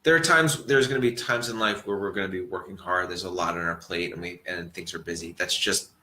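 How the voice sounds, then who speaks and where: A man giving a relaxed, reflective mindfulness talk to an audience, rambling a little and restarting his sentences as he thinks aloud. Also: low voice, fairly quick. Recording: echoey room.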